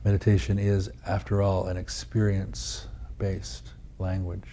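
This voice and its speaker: A man leads a guided meditation in a very dark, soft-edged, mellow voice. His pitch sits on the low side. He gives instructions deliberately, in short measured phrases with space between them. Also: completely flat delivery, slight background noise. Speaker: meditation teacher.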